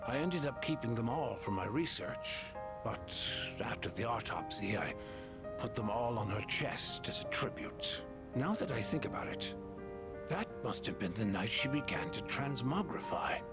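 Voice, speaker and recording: Thoughtful researcher with expressive pitch. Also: male voice. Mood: solemn. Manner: measured, reflective, explanatory. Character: thoughtful, analytical male researcher, gently speculative. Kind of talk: dramatic video game voice acting. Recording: loud music over the voice.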